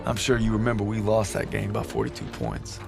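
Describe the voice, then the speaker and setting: A man's voice: lowish voice, very animated, brisk, creaky, loud music behind the voice. A man playing an earnest young American soldier, narrating a letter home in a reflective, plainspoken way for a game. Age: young adult. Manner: informal, plainspoken.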